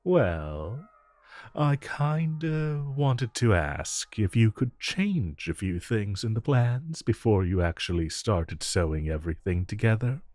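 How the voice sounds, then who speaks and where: A smug, flirtatious supervillain played by a man in a romantic audio drama, with a low voice and an unhurried, coaxing delivery. He teases as he talks, stretching out his phrases. The voice is close and dry over music. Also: very animated, a little mellow, no room sound. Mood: flirty, coaxing. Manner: teasing, coaxing, drawn-out phrasing. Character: flirtatious, smug infamous supervillain, a teasing regular customer. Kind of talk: romantic audio roleplay, addressed to listener.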